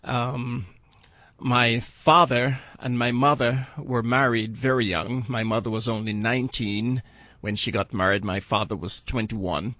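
An older man from Barbados, a radio guest telling his life story in an earnest, halting way. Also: mid-range voice, fairly even intonation, sharp tone, no room sound. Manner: earnest, halting with fillers and restarts.

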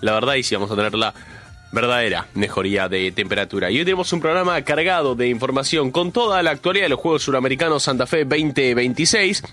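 Male radio host, Rioplatense accent, stuffy with a cold, chatty and animated, bright forceful voice. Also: mid-range voice, quick pace, faint music behind. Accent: Rioplatense Spanish. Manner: chatty, animated, stretches words for emphasis. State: congested with a cold, coughing. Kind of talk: radio show opening monologue. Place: radio studio.

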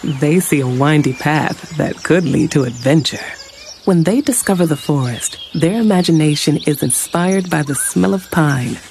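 Gentle narrator evoking a forest. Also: mid-range voice, animated, normal speed, gravelly, slight background noise. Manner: soft, evocative storytelling. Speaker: public service ad narrator.

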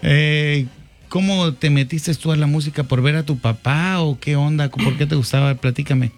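A man's voice: on the high side, steady tone, normal speed, faint music behind. A man hosting a casual interview, joking and teasing his guests. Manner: jokey, teasing, playful. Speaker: radio show host.

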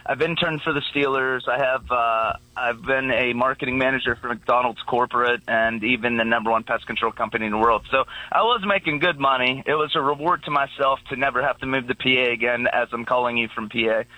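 A man's voice: medium pitch, brisk, few pauses, sharp tone, dry recording, poor audio. A man calling in to a radio show, easygoing and rambling, who laughs often. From Pittsburgh area. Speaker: caller. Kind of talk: phone-in conversation.

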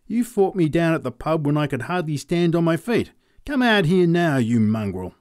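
Man voicing a gruff farmer. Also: on the high side, very animated, moderate pace, darkish tone, no echo, clean studio sound, pitched above the performer's own voice. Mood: defiant. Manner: gruff, swearing, complaining. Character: rough, hard-drinking adult male farmer, blunt and boastful.

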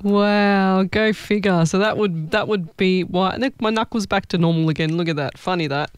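A woman's voice: mid-range voice, moderate pace, smooth, no echo. A woman hosting a casual live chat as a psychic medium, talking in an informal, exclaiming way.

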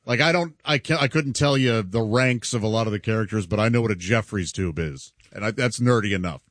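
A man's voice: fairly low pitch, brisk, fairly bright tone, no room sound. A man cracking self-deprecating jokes in casual banter as a regular on a radio show, recorded in a studio.

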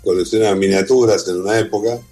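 Low-voiced Argentine man, slightly husky. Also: steady tone, fast, dark tone, soft background music. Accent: Argentine, Buenos Aires. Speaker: interview guest, whisky museum owner. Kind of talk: conversational interview, personal account.

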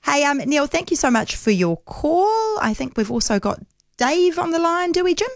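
A woman's voice: high-pitched, very animated, normal speed, no room sound, studio quality. A woman from Auckland with a New Zealand accent, hosting a live talkback phone-in in a chatty style. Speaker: talkback radio host.

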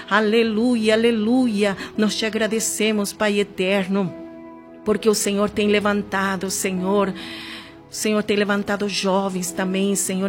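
A woman with a Brazilian accent leading a fervent, improvised evangelical prayer, with repeated invocations and drawn-out emphatic words. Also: medium pitch. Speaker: church prayer leader. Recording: music playing behind the voice. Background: background music.

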